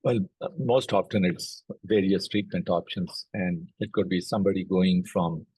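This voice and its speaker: Low husky-voiced man, flat and measured. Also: no room sound, low-quality recording. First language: Urdu. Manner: measured and explanatory, lists cases step by step. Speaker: oncologist and platform co-founder. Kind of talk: recorded video interview.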